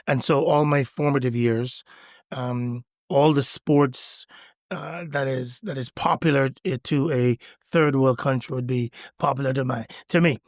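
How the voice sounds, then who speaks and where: A man's voice: mid-range voice, measured pace, no echo, clean studio sound. A middle-aged man from Jamaica, a pastor telling stories with energy as a podcast guest. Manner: enthusiastic, animated storyteller. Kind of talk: podcast interview conversation.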